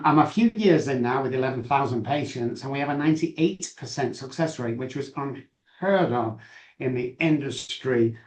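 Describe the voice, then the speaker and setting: A man's voice: mid-range voice, echoey room. A middle-aged man with a Manchester accent, a guest telling his story in a chatty, self-assured way. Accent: Mancunian. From England. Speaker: guest, addiction doctor. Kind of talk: candid interview storytelling.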